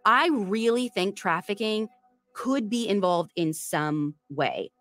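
Animated woman recapping a true crime case. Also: medium pitch, takes it slowly, no echo, soft background music. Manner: chatty, animated storytelling. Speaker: podcast host.

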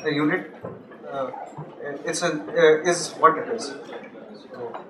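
Young man giving a halting tech talk. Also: on the high side. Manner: halting, many restarts and fillers. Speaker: conference speaker. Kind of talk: technical talk at a meetup. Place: large office meeting room. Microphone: handheld mic, far camera. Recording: echoey room from far off, noisy.

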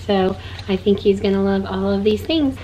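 A woman in her thirties with a hoarse voice, chatting casually to camera about her kids' stocking gifts in a log-home bedroom. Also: medium pitch, moderate pace, mellow, a little hiss or hum, poor audio. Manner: chatty, upbeat, showing items. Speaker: vlogger.

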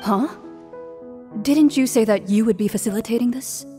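A woman's voice: a little high, moderate pace, mellow, faint music behind, lightly acted. A woman voicing a reserved, polite young duelist in a video game, dry and composed. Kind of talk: scripted video game dialogue.

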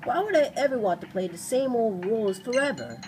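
A woman's voice: a little high, animated, a little fast, darkish tone, voice pushed up, lightly acted. A woman voicing a gracious, thoughtful female movie star in a video game, poised and reflective.

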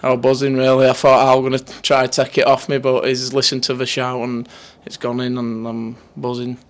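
A man's voice: medium pitch, monotone, a little fast. A man in his twenties with a Northern English accent, giving a casual, matter-of-fact post-match interview as a player. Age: 20s. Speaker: footballer being interviewed after a match. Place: indoors in front of a sponsor board. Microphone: handheld interview microphone held near him.

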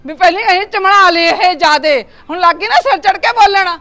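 A woman playing a sharp-tongued older mother-in-law who scolds her daughter-in-law. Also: very high-pitched, quick pace. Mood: scolding. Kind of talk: amateur short-film acting.

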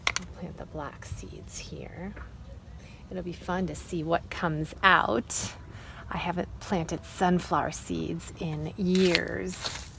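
A middle-aged woman speaking as a preschool teacher, deliberately and expressively addressing young children in a simple read-aloud, instructional style. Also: fairly low pitch, fairly even intonation, vocal fry, noisy background.

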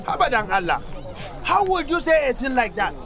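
A man with a Northern Nigerian accent, playing a boastful, touchy village elder who talks in an animated, exclamatory way in a radio drama. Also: extremely high pitch, a little fast, full character voice. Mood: offended. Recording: busy market crowd behind. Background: market crowd chatter.

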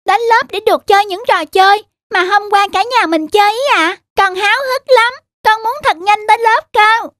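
A woman voicing an eager, playful little boy in a children's cartoon, with an excited, childlike manner. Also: extremely high pitch, normal speed, hardly any pauses, no room sound, clean studio sound.

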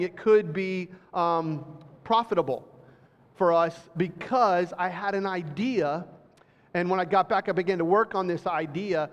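Pastor preaching in a reverberant hall. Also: male voice, high voice. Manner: reads scripture slowly, then talks loosely with restarts and fillers. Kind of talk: sermon with scripture reading. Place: church hall with audience. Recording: dull, reverberant hall recording.

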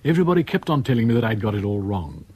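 An actor playing a dry, droll male storyteller with an English accent, narrating a radio play, his voice husky. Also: on the low side, sing-song, rapid-fire, darkish tone, no room sound. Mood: confiding. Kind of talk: scripted radio drama narration.

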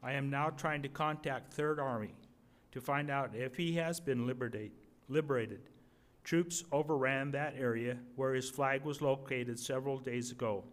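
An older man voicing a steady, earnest soldier son writing home from war. He has a medium-pitched voice and reads the letter aloud in a readers theater. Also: moderate pace, natural voice. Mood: hopeful. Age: 60s or older. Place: indoor museum gallery at a podium. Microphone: podium microphone.

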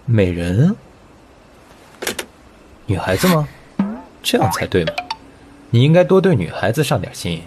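A young man playing a gruff, irritable teenage older brother with a brusque, grumbling delivery in an audio comic drama. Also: lowish voice, sing-song, unhurried, long pauses, over music. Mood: exasperated.

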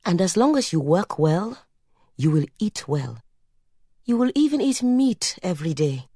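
Casting: bossy village auntie as a female narrator plays her, emphatic, instructive, dark-toned storybook voice. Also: lowish voice, very animated, a little slow, husky, dry recording, studio quality, heavily acted. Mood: advising. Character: brisk, bossy village aunt coaching her young nephew.

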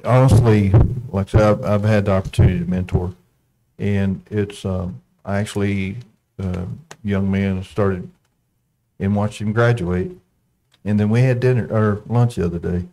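A man making informal, halting remarks at a board meeting, restarting his phrases. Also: lowish voice, steady tone, mellow, poor audio. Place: school board meeting room.

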